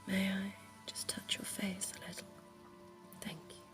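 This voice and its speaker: A woman's voice: mid-range voice, flat delivery, measured pace, over music, lightly acted. A woman whispering as a sultry vampire dentist. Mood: flirty. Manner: soft, teasing, intimate whispering. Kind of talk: ASMR roleplay.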